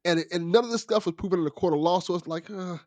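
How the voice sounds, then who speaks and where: A man's voice: high voice, quick pace, dry recording. A man talking casually as a guest on a podcast, discussing and explaining things conversationally.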